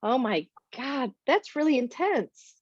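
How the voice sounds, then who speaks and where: A woman hosting a podcast who chats casually and reacts with loud exclamations. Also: sits high, animated, slow pace, breathy edge, no room sound, lo-fi sound. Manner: chatty and exclamatory. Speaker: podcast host. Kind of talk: casual interview.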